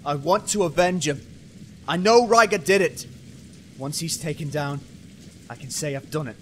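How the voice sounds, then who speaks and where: A man's voice: on the high side, moderate pace, a little hiss or hum, lightly acted. A man playing a driven, hot-tempered police detective in an earnest, dramatic amateur radio play. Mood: vengeful.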